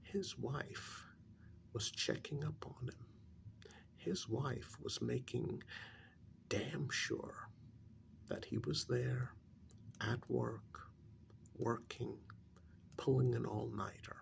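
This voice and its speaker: A man playing a calm, probing psychiatrist in an ASMR role-play, speaking softly and breathily with measured pauses. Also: medium pitch. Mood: confiding, measured. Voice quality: breathy, half-whispered soft speech. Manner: slow, deliberate, measured with pauses. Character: calm, probing male psychiatrist counseling a supernatural couple.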